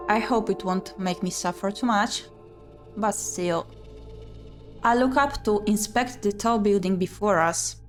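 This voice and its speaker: A woman playing a visual novel on her channel, reading the text aloud and adding chatty commentary. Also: lowish voice. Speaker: video game streamer.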